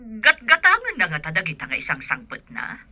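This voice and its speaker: A suspicious madam checking on her household in a radio drama. Her voice is piercingly bright and forceful, with lively rises and falls. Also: mid-range voice, a little fast, no echo, exaggerated voice. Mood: prying. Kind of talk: Ilocano radio drama acting.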